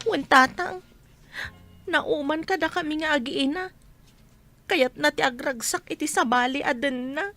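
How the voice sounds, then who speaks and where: Long-suffering Ilocano wife and mother, very high bright voice, plaintive, tearful, radio drama. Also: measured pace, long pauses, dry recording, soft background music, heavily acted. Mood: sad, resigned.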